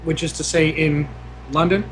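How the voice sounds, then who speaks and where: A man on a gaming podcast making measured, wry remarks. His voice is a little high, with a faint hum behind it. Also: steady tone. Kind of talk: casual podcast discussion.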